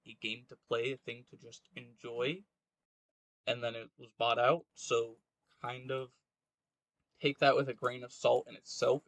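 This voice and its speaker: A man in a casual, opinionated podcast discussion, speaking in a rambling, halting way and often saying "like". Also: mid-range voice, a bit bright, dry recording. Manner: rambling, halting delivery with frequent "like". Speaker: podcast co-host.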